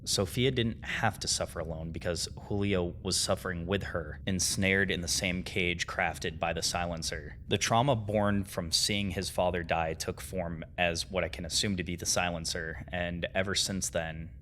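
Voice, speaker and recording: A man's voice: low-pitched, fairly even intonation, normal speed, hardly any pauses, pushed and bright, a little hiss or hum. A man delivers scripted video-essay narration in an earnest, dramatic way with informal asides. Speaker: host of a horror series analysis video.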